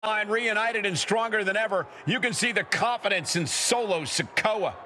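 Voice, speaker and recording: A man announcing wrestling in a video game, earnest and descriptive, over loud background noise. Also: sits high, moderate pace. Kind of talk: sports commentary in a video game.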